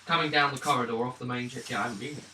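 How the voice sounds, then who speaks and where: Sharp, bright-voiced male game master. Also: medium pitch, steady tone, very fast. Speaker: game master of a tabletop role-playing game. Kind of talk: narrating a tabletop game, casual table talk. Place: gaming table in an echoey room. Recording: roomy, distant mic, faint noise and clicks.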